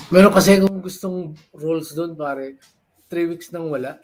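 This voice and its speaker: A man's voice: fairly high pitch, normal speed. An older man with a Filipino accent telling stories in casual reunion chat, switching between two languages. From Philippines. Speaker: former radio DJ guest.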